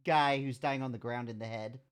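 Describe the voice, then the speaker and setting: A woman narrating as game master in a tabletop game, in a measured, drawn-out way. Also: a bass voice, fairly even intonation, clear, resonant tone.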